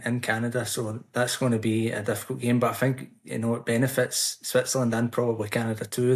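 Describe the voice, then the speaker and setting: A man from the United Kingdom, a podcast guest giving measured, explanatory answers in a casual interview. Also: medium pitch. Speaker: podcast guest, Swiss football commentator.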